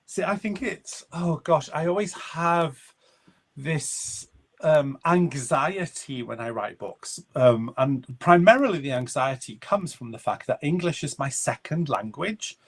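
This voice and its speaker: Reflective Welsh man, measured speech. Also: a little high, expressive. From Wales. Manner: reflective, measured, pauses to find words. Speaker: guest author. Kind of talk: conversational interview.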